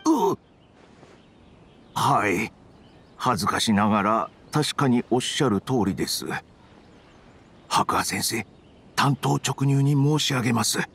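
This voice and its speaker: A man's voice: mid-range voice, lively intonation, slow pace, long pauses, a bit bright, slightly rough, exaggerated voice. A man playing a nervous, deferential publishing editor in an anime game, speaking formally and apologetically. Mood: flustered.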